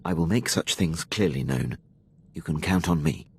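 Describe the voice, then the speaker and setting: An actor playing a dutiful Imperial military officer in a video game, speaking with precise, deferential formality. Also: male voice, very deep voice, expressive, moderate pace, a little mellow, slightly rough, exaggerated voice. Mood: restrained grievance. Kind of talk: scripted video game dialogue.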